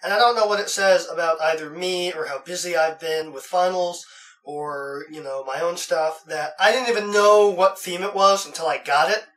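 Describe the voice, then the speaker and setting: A man's voice: a little high, moderate pace, a bit bright, distant mic, low-quality recording. A young man with a cold, chatting casually while unboxing, rambling with lots of "uh"s and restarts, recorded in his bedroom. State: has a cold. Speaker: unboxing vlogger. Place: bedroom at home.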